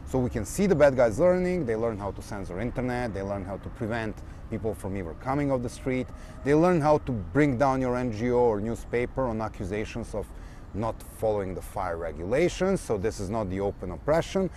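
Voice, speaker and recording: Brief: male activist trainer explaining tactics in an animated, lecturing way, faint background noise. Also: medium pitch, a little fast. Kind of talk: speech and interview.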